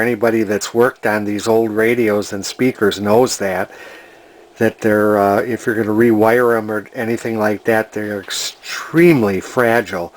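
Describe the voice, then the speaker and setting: A man casually talking through an antique radio repair in his workshop, plain and hesitant with frequent fillers. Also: lowish voice. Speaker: radio restorer. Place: home workshop.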